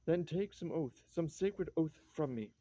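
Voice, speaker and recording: An actress playing a frightened, pleading young wife in a classic stage tragedy, emotional and earnest. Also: female voice, low-pitched, animated, unhurried, warm tone, muffled recording. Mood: fervent.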